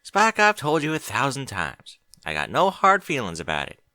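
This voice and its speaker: Folksy female pony for a storybook male reader performing: colloquial, reassuring, lively intonation, dry sound. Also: medium pitch, normal speed, dry recording, pitched above the performer's own voice. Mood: reassuring, firm. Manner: folksy, colloquial, plain-spoken. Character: adult female country pony, plain-spoken, reassuring. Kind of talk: audiobook character dialogue.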